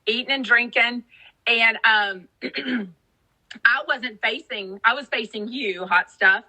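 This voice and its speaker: A woman's voice: a little high, slow, bright, forceful tone, rough recording. A woman from Texas co-hosting a podcast, chatting and gossiping casually with lots of fillers. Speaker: podcast co-host. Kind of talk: casual recap chat.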